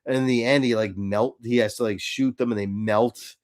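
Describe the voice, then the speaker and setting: A man's voice: medium pitch, steady tone, normal speed. A man co-hosting a casual fan chat, speaking in an earnest, conversational way. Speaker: podcast co-host.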